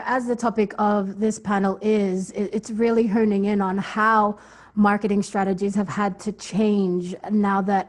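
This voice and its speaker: A woman's voice: mid-range voice, a little mellow. A woman raised in Australia, moderating an online music industry panel in a casual way with frequent ums. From Philippines, raised in Australia. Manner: casual, hesitant with many ums, introduces and hands off to guests.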